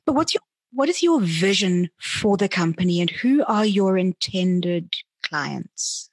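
A woman's voice: fairly low pitch, lively intonation, a little slow, husky, no echo. A woman hosting a conversational interview, putting questions to her guest. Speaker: interviewer, host.

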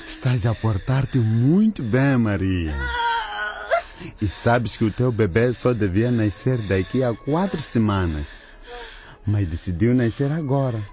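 A man playing a calm, reassuring hospital doctor in a radio drama, measured and encouraging. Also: medium pitch, heavy background noise, lightly acted.

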